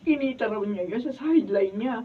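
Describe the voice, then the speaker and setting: Woman acting desperate wife and mother, high voice, radio drama, tinny distant recording. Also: animated, fairly quick, close to an everyday voice. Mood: troubled. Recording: distant, phone-like, noisy studio audio.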